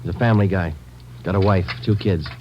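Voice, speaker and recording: A dogged police detective played by a man in a vintage radio drama. He talks low and fast in terse, insistent phrases. The recording is muffled and clearly noisy. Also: steady tone, natural voice. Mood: grim, clipped. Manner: clipped, businesslike, insistent questioning. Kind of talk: 1940s-50s radio drama acting.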